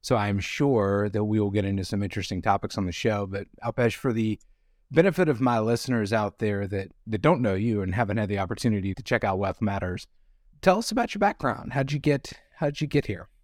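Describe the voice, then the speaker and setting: A man's voice: fairly low pitch, expressive, brisk, no echo. A man hosting an interview podcast, reading an intro and welcoming his guest in an upbeat, enthusiastic way. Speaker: podcast host. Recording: dry with faint music bed.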